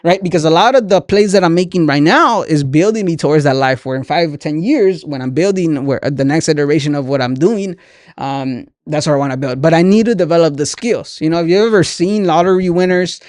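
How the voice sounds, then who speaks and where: A man's voice: a little high, brisk, darkish tone, no echo, clean studio sound. A man in his twenties hosting a motivational talk, speaking earnestly and conversationally, often checking in with "right?". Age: 20s. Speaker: podcast host, entrepreneur. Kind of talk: motivational talk, sharing lessons directly to listeners.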